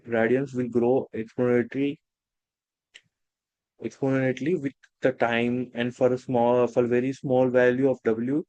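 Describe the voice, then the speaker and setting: A man teaching a technical lesson, talking in a halting way with many restarts and fillers. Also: medium pitch, a little mellow, dry recording, highs cut off. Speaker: instructor. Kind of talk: technical lecture.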